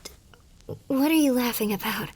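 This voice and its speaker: A woman playing a tender, wistful young army nurse in an ASMR romance roleplay, with a soft, breathy voice that often drops toward a whisper and an emotive delivery. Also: on the high side, moderate pace. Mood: cautious, questioning. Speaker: voice actor in a romance audio roleplay. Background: music.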